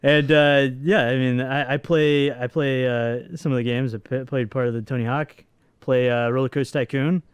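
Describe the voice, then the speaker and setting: A man co-hosting a gaming channel, talking in an easygoing, halting way with many fillers and restarts. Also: medium pitch, steady tone, clean tone, no echo. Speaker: co-host of a gaming channel, programmer. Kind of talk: casual banter over gameplay.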